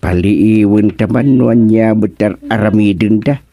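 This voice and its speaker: A man's voice: fairly low pitch, normal speed, warm tone, natural voice. A man playing a nosy, gossipy villager in a radio comedy drama.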